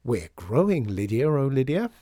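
A man's voice: medium pitch, sing-song, normal speed, warm tone, no echo, clean studio sound. A man voicing a friendly, upbeat woman store manager in a storybook, chatty and encouraging in manner. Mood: cheerful.

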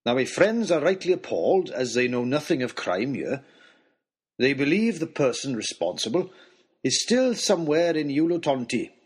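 A man doing a gentle, hearty host with quiet authority. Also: mid-range voice, normal speed, breathy edge. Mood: gentle firm. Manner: calm, warm, firm. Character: gentle but stern old host, hearty and kind.

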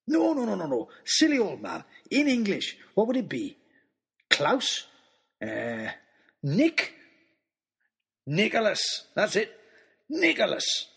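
A man's voice: huge pitch swings, takes it slowly, creaky, pauses often, fairly bright tone, husky, full character voice. A man doing a jolly giant old host. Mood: jovial. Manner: booming, delighted, gushing exclamations.

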